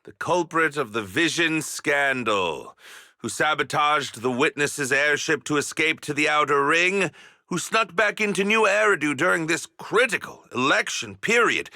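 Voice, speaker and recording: Bright, forceful, pompous police commander. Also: male voice, fairly high pitch, animated, a little slow, dry recording, studio quality, heavily acted. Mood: smug, official. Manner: formal, declamatory, theatrical. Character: pompous, hot-tempered male police inspector and acting commander. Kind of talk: video game voice acting.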